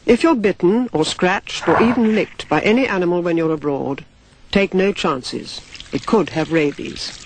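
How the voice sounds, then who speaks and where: A man's voice: high-pitched, moderate pace, background noise. A British man delivering a grave, emphatic scripted public warning.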